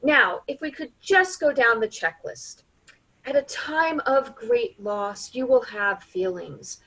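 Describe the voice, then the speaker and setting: Female actor as flustered counselor, high voice, prim, polite, hesitant, by-the-book, stage comedy reading. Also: slow, sharp tone, heavily acted, poor audio. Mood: prim, procedural.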